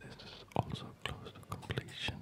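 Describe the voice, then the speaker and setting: Someone whispering breathy ASMR commentary while playing a video game, musing aloud in short, halting phrases. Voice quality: breathy, mostly unvoiced whisper. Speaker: gamer narrating own gameplay.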